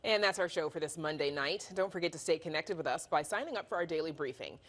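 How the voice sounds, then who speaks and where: Composed woman news anchor, lowish voice. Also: expressive, fast talker, fairly bright tone. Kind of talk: scripted news sign-off.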